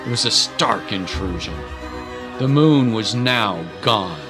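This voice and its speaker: A man narrating a dramatic scripted story in a measured, solemn manner. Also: on the low side, expressive. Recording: dramatic music bed. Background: music.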